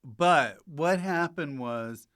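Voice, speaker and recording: A smooth, clear-voiced man hosts a jokey podcast at a slow pace. His pitch sits a bit high, and he rambles, stalls and loses his thread as he chats. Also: lively intonation. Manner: forgetful, halting, losing his thread; jokey, prone to loud mock announcements. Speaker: podcast host. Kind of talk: rambling comic podcast chat.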